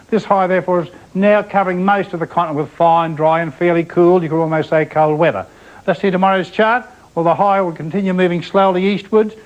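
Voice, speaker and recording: A man with an Australian accent presenting the weather on television, brisk and chatty as he talks through the charts. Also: a little high. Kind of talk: semi-scripted weather report. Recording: dull, lo-fi TV broadcast sound.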